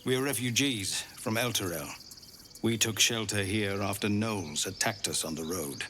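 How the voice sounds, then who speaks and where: Weary middle-aged refugee chief, low and grave, pleading. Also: male voice, a little slow, pushed and bright, a little hiss or hum. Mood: worried. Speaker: refugee leader. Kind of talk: video game dialogue. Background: game music.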